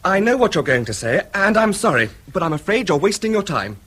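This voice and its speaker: A young British son, earnest and defensive. His high, husky voice moves widely in pitch as he insists and apologises. It is radio drama acting. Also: very animated, fairly quick, a bit bright, exaggerated voice. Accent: British English. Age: young adult.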